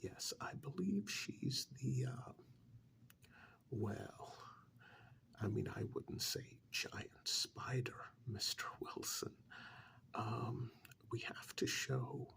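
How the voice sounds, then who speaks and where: A man playing a polite, fussy official in a soft-spoken ASMR role-play, whispering in a formal, hesitant, courteous way. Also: medium pitch, expressive, very slow, pauses often, full character voice. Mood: officious, apologetic. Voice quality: soft, breathy whisper with gravelly edge. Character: polite, fussy, methodical male tax official auditing non-human clients.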